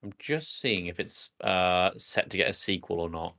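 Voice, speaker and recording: A man's voice: sits low, animated, normal speed, gravelly, bright, forceful tone. A man hosting a podcast, chatting casually and steering the conversation. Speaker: podcast host. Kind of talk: casual chat, moderating.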